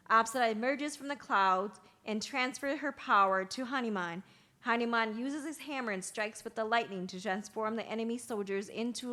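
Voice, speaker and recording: A woman's voice: on the high side, bright, forceful tone. A woman reading stage directions as narrator at a play's table read, in scripted narration.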